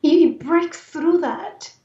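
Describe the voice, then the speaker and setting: A woman from Argentina with an Argentine Spanish accent and a raspy voice, speaking earnestly and reflectively as an interview guest. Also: very high voice, takes it slowly, mellow. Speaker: interview guest, life and movement coach. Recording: roomy echo, distant mic, dull sound.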